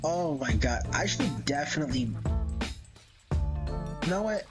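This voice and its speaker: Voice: medium pitch, big pitch swings, unhurried, over loud music. A live streamer chatting casually in a rambling, giggly way and swearing freely. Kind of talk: casual livestream chat.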